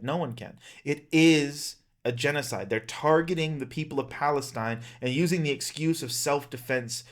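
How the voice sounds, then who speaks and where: Male vlogger, 30s, medium pitch. Earnest, emphatic rallying monologue, plain-spoken and impassioned. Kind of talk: earnest monologue to camera, personal rallying speech.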